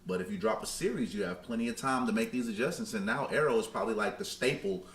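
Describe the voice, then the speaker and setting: A man's voice: lowish voice, quick pace, a bit bright. A man co-hosting a podcast, talking casually and emphatically with slangy opinions. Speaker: podcast co-host. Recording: roomy echo, clear background noise.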